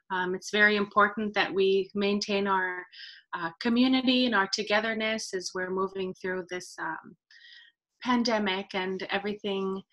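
A woman's voice: medium pitch, pushed and bright. A woman in her thirties speaking unscripted as the host of an online event, recorded on a video call. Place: indoor room, video call.

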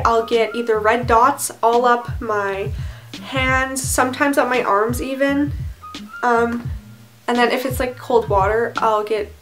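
Teen girl vlogger, high-ish voice, rambling bedroom chat. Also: fairly bright tone. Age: teens. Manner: chatty, rambling, self-deprecating. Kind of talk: casual chat to camera. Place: bedroom, indoors.

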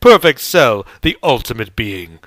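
A man's voice: mid-range voice, sing-song, unhurried, a bit bright, dry recording, clean studio sound. A man voicing a smug, arrogant, taunting android villain from an anime in a haughty, theatrical manner.

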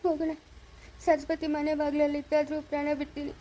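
A woman's voice: high-pitched, monotone, fast talker, mellow, a little hiss or hum, lightly acted. A woman voicing a remorseful, tearful father in a serial drama, lamenting plaintively and repeating the words. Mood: remorseful, despairing. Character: remorseful, weeping father who pleads for forgiveness.

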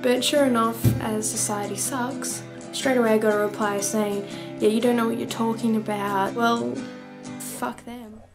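A woman's voice: fairly high pitch, steady tone, moderate pace. A young woman speaking casually and a little hesitantly in a vlog. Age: young adult. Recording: music under the voice.

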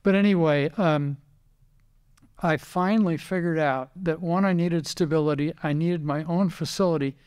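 Older American man with a higher-pitched voice. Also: moderate pace, darkish tone, clean tone. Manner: anecdotal storytelling, acting out remembered dialogue. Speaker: retired racing driver and team owner, interview guest. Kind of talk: casual reminiscing interview.

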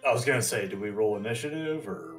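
A man's voice: lowish voice, expressive, quick pace, faint music behind. A man chatting casually as a player in a tabletop roleplaying game, joking and swearing freely. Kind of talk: casual chat while playing.

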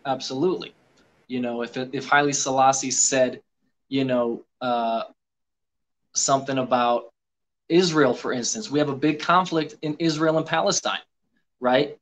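A man on a video call explaining his views in an earnest, quick way with many fillers, from a room with bookshelves. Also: medium pitch, steady tone, fairly bright tone. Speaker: guest on a live video call. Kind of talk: casual discussion.

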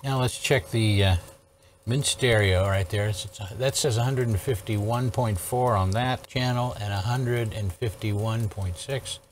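A man's voice: on the low side, unhurried, slight background noise. A man in his sixties giving a casual, methodical hands-on technical demonstration as host, often checking in as he explains. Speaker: host, electronics restorer. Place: indoor workshop studio at a workbench.

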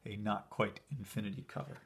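A man casually talking through an old convention program as he leafs through it, relaxed and enthusiastic, with small fillers and pauses, recorded indoors by a camera filming his hands from above. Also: fairly low pitch, vocal fry, slightly rough. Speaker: collector showing a convention program. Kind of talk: casual flip-through commentary.

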